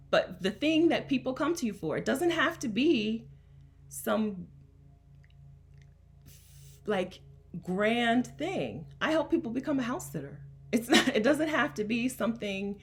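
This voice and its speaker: A woman from Delaware, a coach hosting a casual livestream and talking to her chat in an emphatic, chatty way, laughing often. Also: medium pitch. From Delaware, USA. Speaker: coach and host.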